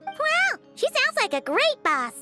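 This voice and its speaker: A woman voicing a small, excitable floating fairy companion in a video game, with a very high, squeaky voice and an eager, chatty way of talking. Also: a little slow, heavily acted. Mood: chirpy. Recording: game audio over background music.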